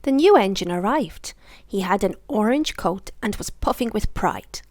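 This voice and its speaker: A woman reading a children's bedtime story aloud in an expressive storytelling style. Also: mid-range voice, normal speed, dry recording.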